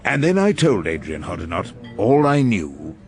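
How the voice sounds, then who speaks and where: An actor playing a wry, irascible veteran English barrister, narrating and questioning witnesses in a dry, theatrical radio drama manner. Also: male voice, low voice, sing-song, moderate pace, faint music behind. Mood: wry narration.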